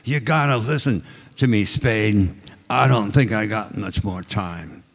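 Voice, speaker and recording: A man playing a gravely wounded man, speaking weakly and with effort in a radio play. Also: lowish voice, measured pace. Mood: weak, desperate. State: weak, dying, labored speech. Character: gravely wounded man gasping out his story. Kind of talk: 1940s radio acting. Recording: echoey hall, live stage.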